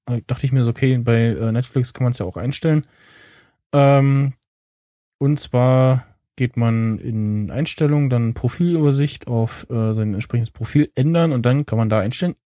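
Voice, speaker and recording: A man co-hosting a podcast, talking casually and haltingly as he thinks aloud. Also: mid-range voice, mellow, no echo, clean studio sound. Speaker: podcast co-host. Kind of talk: casual, unscripted podcast chat about tech and streaming.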